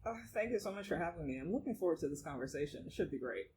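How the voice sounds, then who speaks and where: A woman around forty, a startup founder talking as a guest in a casual interview, animated, laughing often and saying um a lot. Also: sits low, racing, slight background noise. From Nigeria.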